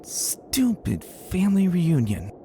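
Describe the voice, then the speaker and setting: A man's voice: high voice, wildly swooping pitch, takes it slowly, mellow, a little hiss or hum, voice pushed up, exaggerated voice. A man voicing a grumpy young female pegasus pony, muttering sulky complaints in a dramatic story reading. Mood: grumbling. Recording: background music behind the voice.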